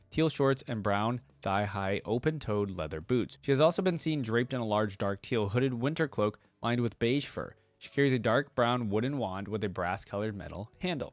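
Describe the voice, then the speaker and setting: A man's voice: mid-range voice, dry recording. A man giving casual, conversational reaction commentary as a host talking directly to his viewers. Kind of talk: casual reaction commentary, sometimes reading aloud.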